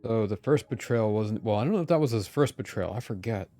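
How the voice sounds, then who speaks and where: Laid back guy streamer, dark mellow voice, musing over gameplay, music behind. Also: on the low side, expressive, fast, dry recording. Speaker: game streamer. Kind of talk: casual live gameplay commentary. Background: game music.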